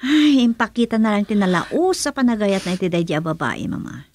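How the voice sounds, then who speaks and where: A woman's voice: mid-range voice, very animated, fairly quick, darkish tone. A woman with a Filipino accent playing a hurt, indignant young woman in a radio drama.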